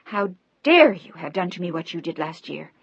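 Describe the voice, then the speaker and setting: A woman's voice: fairly low pitch, moderate pace. A woman playing Rafaela, a raging betrayed daughter. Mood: furious. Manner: shouting, sobbing accusations. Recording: dry, slightly dull recording.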